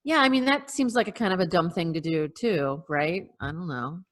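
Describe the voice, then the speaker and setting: A woman's voice: fairly low pitch, moderate pace. A woman chatting agreeably as a panelist in a casual group discussion. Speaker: podcast panelist. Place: remote video call.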